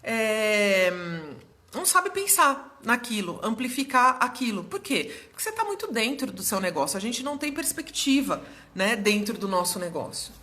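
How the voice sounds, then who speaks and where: Bright-voiced woman, slightly hoarse. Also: on the high side, very animated, moderate pace. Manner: chatty, explanatory, checks in with viewers often. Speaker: business mentor hosting a live stream. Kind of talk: casual live-stream explanation to viewers.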